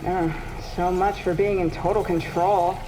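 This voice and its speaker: A woman's voice: lowish voice, steady tone, a little slow, background noise, close to an everyday voice. Guarded, defiant actress as a woman, echoey. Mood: wary, defiant. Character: guarded, distressed young female agent, defiant and wary. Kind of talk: dramatic game cutscene acting. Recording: echoey space with music behind. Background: music.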